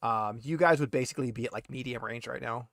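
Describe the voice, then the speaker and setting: A man's voice: mid-range voice, quick pace, creaky, a bit bright, no room sound, clean studio sound. A man talking casually as game master, explaining rules and narrating a tabletop game in an informal, slightly hesitant, patient way.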